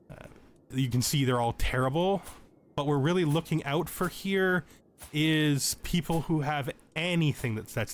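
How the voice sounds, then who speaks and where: A man's voice: mid-range voice, a little hiss or hum. A man giving casual tutorial commentary over a video game, explaining things as he thinks aloud.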